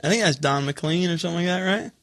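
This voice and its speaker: A man's voice: a little high, fast talker, fairly bright tone, dry recording, studio quality. A man chatting casually as a podcast guest, offering facts and short remarks in conversation. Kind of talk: casual chat with read-out trivia facts.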